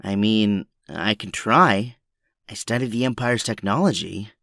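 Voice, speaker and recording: A man's voice: lowish voice, measured pace, vocal fry, pushed and bright, dry recording, studio quality, full character voice. A man playing an older, weary but principled resistance captain in an audio drama, grave and commanding.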